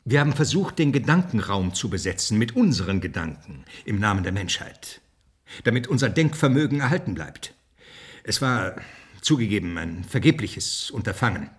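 An actor in a German radio play, playing a brash, hot-tempered official who talks with sarcasm and contempt in a husky voice. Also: male voice, on the low side, sing-song, moderate pace. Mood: defensive. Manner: sarcastic, blustering, coarse.

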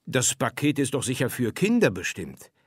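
A man's voice: mid-range voice, huge pitch swings, normal speed, breathy edge, dry recording, clean studio sound, voice pushed up. A man voicing a lonely, plain-spoken man from an apartment house in a storybook reading, with a questioning manner. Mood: puzzled, resigned.

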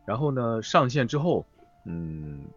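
A man chatting as a voice actor guest in a live interview, phrasing things haltingly. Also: medium pitch, very animated, lo-fi sound, faint music behind. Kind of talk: casual live interview chat.

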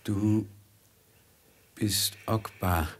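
A man's voice: on the low side, fairly even intonation, extremely slow, slight background noise. A man playing a weak, wounded slave who is barely conscious and wary, in a German radio play. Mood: weak, dazed. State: faint, breathy, barely conscious.

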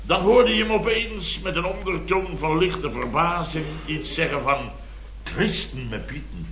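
An actor playing a flustered, blustering middle-aged boss of a building firm in an old radio comedy, rambling, stammering and repeating himself. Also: male voice, on the high side, animated, a little slow, noisy background. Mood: nostalgic, excitable. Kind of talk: 1970s Dutch radio comedy acting. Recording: dull, roomy dated radio recording.

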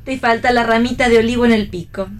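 An actress playing a guarded, wry young pregnant wife in a radio play, with an Argentine accent and a curt, dry manner. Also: female voice, on the high side, steady tone, fast, natural voice. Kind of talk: radio theatre play reading.

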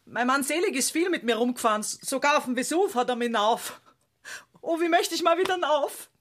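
Casting: older widow in radio drama, gushing, gossipy, given to nostalgic rambling. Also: female voice, sits high, expressive, fairly quick, fairly bright tone. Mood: nostalgic, confiding. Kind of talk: radio play dialogue.

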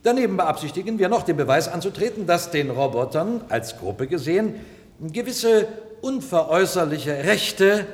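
An eloquent male defence lawyer in a radio play. His fairly high voice moves animatedly through emphatic, formal arguments. It rings through a reverberant hall. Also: sing-song, normal speed. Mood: insistent, argumentative. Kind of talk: radio drama courtroom oratory.

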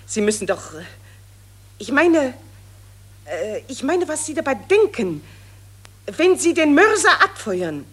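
An actress playing a pushy, gushing female war reporter in a radio play, pressing a soldier with insistent questions in a breathy voice. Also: sits high, sing-song, slow pace, pauses often, full character voice.

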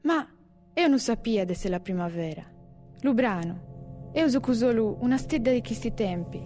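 A woman plays modest Springtime in an audio drama. Her voice is warm and mellow and a little high, with music behind it. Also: expressive, moderate pace, lightly acted. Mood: bewildered, modest. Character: modest personified springtime, unaware of her importance.

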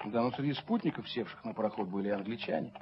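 An actor playing a fussy, snobbish, over-polite gentleman in a radio play, speaking with pompous, flowery courtesy. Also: fairly low pitch, fast, natural voice. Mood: anxious, reproving. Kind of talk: theatrical radio drama acting. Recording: dull, noisy 1970s radio recording.

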